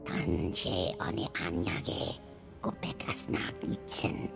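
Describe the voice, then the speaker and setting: A man voicing an alien creature leader in a video game, growling and breathy in a guttural invented tongue. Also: low voice, level tone, takes it slowly, full character voice. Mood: grave, guttural. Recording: game cutscene with music underneath.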